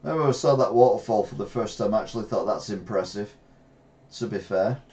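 A middle-aged English man streaming a retro racing game, reacting excitably and trash-talking rivals from a gaming chair at home. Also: lowish voice, fairly quick, lo-fi sound. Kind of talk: live gameplay commentary. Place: small room at home, seated in gaming chair.

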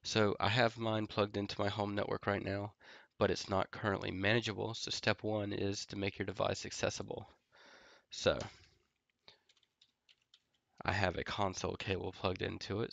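A man's voice: fairly low pitch, normal speed, vocal fry, long pauses, no room sound, muffled recording. A man with a head cold and a nasal, stuffy voice, casually explaining a tech tutorial with lots of ums while he types commands. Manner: relaxed, filler-heavy explaining while typing commands. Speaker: network engineer tutorial host. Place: home office.

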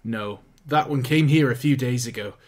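Man voicing a gruff scrapyard foreman, offhand and blunt, plain voice. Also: mid-range voice, normal speed, natural voice. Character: blunt working-class male scrapyard foreman.

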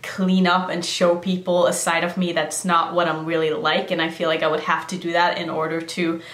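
A woman's voice: on the low side, level tone, moderate pace, fairly bright tone. Chatty woman in her thirties, echoey room. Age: 30s. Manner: chatty, lots of "like" fillers. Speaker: beauty vlogger. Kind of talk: casual Q&A vlog. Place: decorated room indoors. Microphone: camera framing head and shoulders.

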